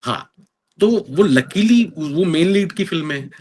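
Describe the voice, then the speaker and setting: A man's voice: fairly high pitch, expressive, takes it slowly, a little mellow, a little hiss or hum. A man in his thirties who is an actor, answering interview questions earnestly with an Indian accent, mixing in English phrases and using many fillers. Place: lives in London.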